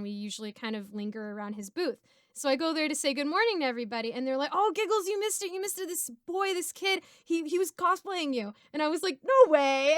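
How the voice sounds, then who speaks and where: A woman in her twenties telling stories in a casual, giggly, expressive way as a streamer. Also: a little high, clean tone, no room sound, clean studio sound. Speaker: streamer and narrator hosting a Q&A. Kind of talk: casual chat, storytelling.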